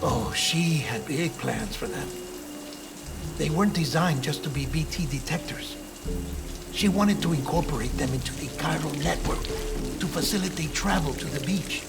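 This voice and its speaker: An actor playing a fussy, secretive middle-aged doctor in a video game cutscene, explaining things in a measured, confiding way. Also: male voice, a little high, creaky, music in the background. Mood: secretive, urgent.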